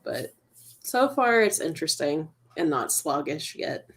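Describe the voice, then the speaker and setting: A woman chatting casually with a reading group over a video call, soft-spoken and agreeable. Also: deep voice, very animated, measured pace. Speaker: guest reader. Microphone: video call mic.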